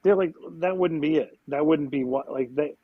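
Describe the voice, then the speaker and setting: A man's voice: a little high, normal speed, no room sound, phone-quality sound. A man co-hosting a comedy movie-review podcast who argues his points, cracks jokes and swears. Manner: wisecracking, argumentative, swears. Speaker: podcast co-host.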